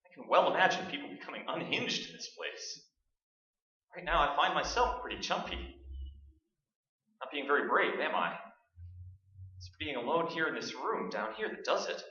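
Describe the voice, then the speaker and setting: A man acts out a jittery broadcaster in a radio ghost drama. His bright, forceful voice chatters and stumbles nervously. It is heard from far off in a very echoey hall. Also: on the high side, expressive, moderate pace, pauses often. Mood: uneasy, chatty. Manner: jittery, rambling, stammering. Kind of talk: staged radio play acting. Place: large hall stage, staged performance. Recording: very echoey stage recording from far off, muffled.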